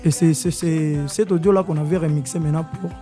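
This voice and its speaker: Man, dark tone, music under. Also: on the high side, steady tone, fast talker. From Congo. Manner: mixes French with Swahili, hesitant with many fillers. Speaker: musician guest. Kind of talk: radio interview. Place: radio studio.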